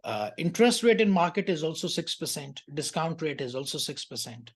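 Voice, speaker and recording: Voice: mid-range voice, very animated, fairly quick, slightly rough. An accounting tutor giving an explanatory lecture through a worked exam question, in a didactic way that often checks the listener follows.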